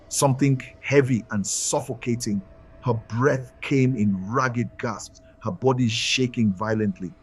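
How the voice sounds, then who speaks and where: Male storyteller, husky, solemn and deliberate, for dramatic scripted folktale narration. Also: fairly low pitch, a little mellow.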